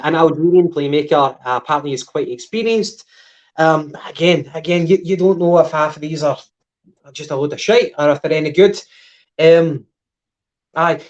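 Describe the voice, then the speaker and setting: A Scottish man co-hosting a podcast, chatting casually about football with lots of fillers and the odd laugh. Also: on the high side. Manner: relaxed, filler-heavy, with laughs. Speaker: podcast co-host. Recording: dull lo-fi sound.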